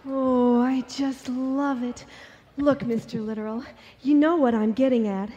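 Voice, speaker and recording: A gushing, lovestruck swooner. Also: female voice, high-pitched, fairly even intonation, unhurried, mellow, long reverb tail, highs cut off. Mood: swooning. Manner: breathy, gushing, vague. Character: swooning lovestruck young woman fan. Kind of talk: radio comedy sketch.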